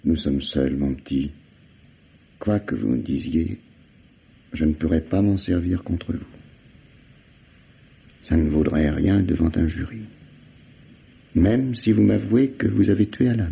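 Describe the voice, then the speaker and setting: A man's voice: deep voice, a little slow, vocal fry, long pauses, warm tone. An actor playing a wry, insistent investigator in a vintage radio mystery, sardonic and falsely fatherly as he questions a suspect. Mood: insistent, coaxing. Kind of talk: 1950s French radio mystery acting. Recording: dull lo-fi old radio recording.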